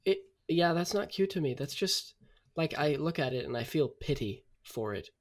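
A man's voice: on the high side, lively intonation, normal speed. A man co-hosting a podcast in casual comic chat, talking informally and with emphasis. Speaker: podcast co-host.

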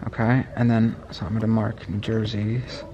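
A man's voice: lowish voice, level tone, moderate pace, lo-fi sound. A man chatting casually on camera as a travel companion in a vlog. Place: farm, outdoors and in sugarhouse. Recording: noisy on-location camera audio.

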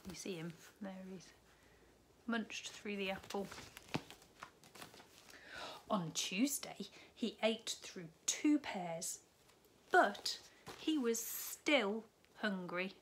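A woman's voice: mid-range voice, a little hiss or hum. A woman, a primary school teacher reading a picture book aloud to children from her living room. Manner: slow, expressive storytime reading with lively rises on key words. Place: living room at home, indoors.

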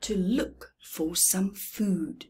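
A middle-aged woman reading a picture book to young children in an expressive, deliberate way, with dramatic pauses and hushed emphasis. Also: medium pitch. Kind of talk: slow children's picture-book read-aloud. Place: indoors in a room at home.

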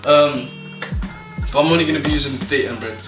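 A man's voice: mid-range voice, fairly quick, distant mic. Young male vlogger, mock chef, stumbling and bossy; echoey kitchen, off-mic, music behind. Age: young adult. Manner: stumbling, playful, bossy with the cameraman. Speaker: vlogger playing a cooking show host. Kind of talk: joking mock cooking show. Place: small home kitchen, indoors. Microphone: camera mic on a handheld camera.

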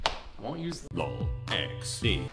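A man's voice: fairly low pitch, huge pitch swings, slow, a bit bright, faint music behind. A young man narrating a casual vlog in a wry, self-deprecating way. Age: young adult. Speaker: vlogger, game developer.

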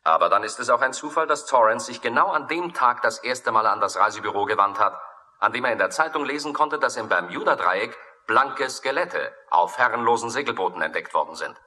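An actor playing a methodical, dry investigator briefing a colleague in a radio thriller. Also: male voice, mid-range voice, expressive, a little fast, pushed and bright. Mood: dry, deliberate. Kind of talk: German radio thriller acting. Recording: long hall-like reverb.

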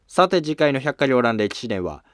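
A man's voice: mid-range voice, sing-song, moderate pace, no room sound, clean studio sound. A man reading scripted narration for a history drama as its narrator.

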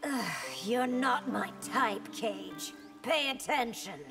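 High-voiced, haughty, creaky warrior princess. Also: female voice, takes it slowly, in a large hall, over music. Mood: haughty scorn. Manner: haughty, disdainful, formal. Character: imperious warrior princess, young woman, scornful and proud. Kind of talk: video game fight-intro banter.

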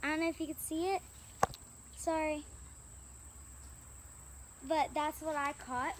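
A woman's voice: high-pitched, fairly even intonation, takes it slowly, background noise. A female vlogger chatting casually outdoors by a small creek into a handheld camera, rambling with lots of fillers. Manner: rambling, filler-heavy, upbeat. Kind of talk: casual vlog chat.

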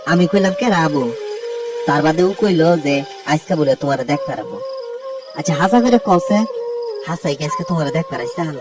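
A man's voice: on the high side, lively intonation, normal speed, long pauses, close to an everyday voice. A man playing a jealous, fearful young village lover in an amateur drama, with a Tangail Bengali accent. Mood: insistent. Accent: Tangail regional Bengali, Bangladesh. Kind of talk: amateur village love-story dialogue. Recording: distant recording with loud music behind.

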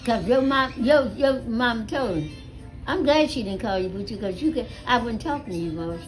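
An elderly American woman telling stories casually to family, with a salty, animated manner, recorded on a phone at home. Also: on the high side, moderate pace, soft background music, poor audio. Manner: animated, salty, acts out dialogue with swearing. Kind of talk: casual storytelling among family. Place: small living room at home. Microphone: phone held near her.

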